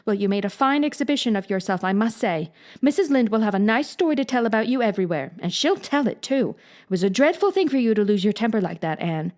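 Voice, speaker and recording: A woman's voice: fairly high pitch, expressive, fast talker, darkish tone, natural voice. A woman reading as a stern, dry guardian. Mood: scolding. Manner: severe, dry.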